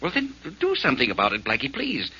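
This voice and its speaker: A man's voice: medium pitch, huge pitch swings, fast talker, bright, forceful tone, breathy edge, full character voice. An actor playing a worried, stammering man wracked with guilt, in a 1940s radio drama. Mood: anxious. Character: anxious guilt-ridden adult man, sleepless. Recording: dull old radio recording.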